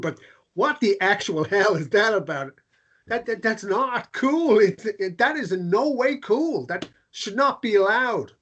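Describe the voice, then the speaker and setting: A man performs a warm-hearted man in a gentle romance drama. His voice sits high for a man, and he speaks with open, slightly halting sincerity. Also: heavily acted. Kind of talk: table read of a romance drama.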